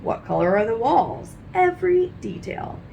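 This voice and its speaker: A woman's voice: on the high side, sing-song, moderate pace, creaky, dark tone, highs cut off, slight background noise. A woman reading a nonfiction book aloud for children, expressively, with careful teacherly phrasing and emphasized questions. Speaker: read-aloud host.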